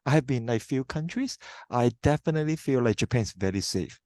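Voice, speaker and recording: A man, a guest speaker on a video call, thinking aloud in a relaxed way full of "like"s. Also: medium pitch, brisk, no echo. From Hong Kong. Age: 30s.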